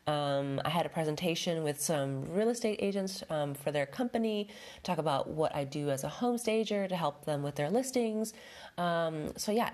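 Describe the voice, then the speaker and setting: Woman, low voice, stuffy nose, chatty, rambling, home decor podcast host. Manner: chatty, rambling, many fillers. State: stuffed up with a head cold. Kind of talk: casual solo podcast talk.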